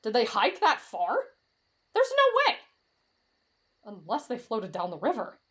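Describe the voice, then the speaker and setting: A woman's voice: medium pitch, animated, normal speed, a bit bright, lightly acted. A woman voicing a timid, lovestruck teenage boy in an anime-style roleplay drama, with a dramatic, whiny delivery that swings between fretful and gushing. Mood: curious, startled. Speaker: voice actor in roleplay audio.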